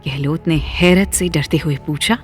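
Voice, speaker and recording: Casting: audio story narrator, mid-pitched voice, scripted storytelling, soft music underneath. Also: moderate pace.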